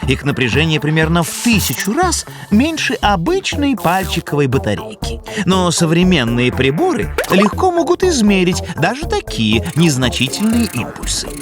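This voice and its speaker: A man's voice: sits high, huge pitch swings, normal speed, hardly any pauses, music in the background. A man playing a pedantic older animal scholar in an educational cartoon, speaking in formal, fussy, didactic phrases. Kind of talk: expressive educational cartoon acting.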